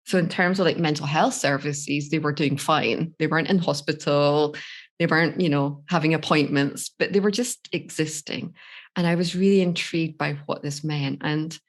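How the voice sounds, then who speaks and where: A woman's voice: low voice, steady tone, normal speed. A middle-aged Scottish woman, a life coach, telling her personal story in a candid, reflective way on a podcast interview. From Scotland. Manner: reflective, candid, laughs easily.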